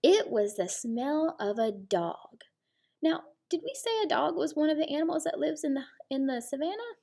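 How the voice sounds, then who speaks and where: A woman teacher reading a storybook aloud to young children, pausing to explain things simply. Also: high-pitched, expressive, gravelly, warm tone.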